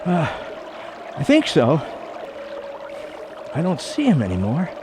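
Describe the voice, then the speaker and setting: A man acting a determined, haunted believer in a supernatural radio drama. His voice is high and very animated, with noisy trickling water behind it. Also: slow, heavily acted. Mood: shaken. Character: earnest, determined man haunted by a shadowy ghost. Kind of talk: amateur radio play acting.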